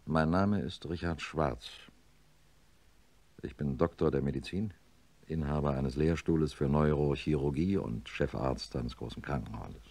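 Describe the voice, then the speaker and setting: An actor playing a middle-aged neurosurgeon professor in a radio drama, measured, dry and formal. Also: male voice, very deep voice, a little mellow, heavily acted. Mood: grave, confessional. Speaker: narrator and lead actor. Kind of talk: German radio drama acting.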